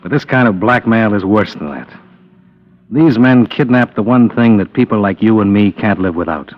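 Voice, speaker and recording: An actor playing a tough, principled American investigator in a vintage radio drama, speaking in a terse, firm, wry manner. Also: male voice, lowish voice, moderate pace, natural voice. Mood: firm, persuasive. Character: tough, principled male insurance investigator, hard-boiled and resolute. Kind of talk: 1940s-50s radio drama acting and narration. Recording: dull old radio recording, music behind.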